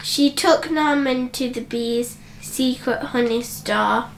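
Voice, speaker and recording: High-pitched, very slow woman storyteller. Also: slight background noise. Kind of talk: children's bedtime story narration.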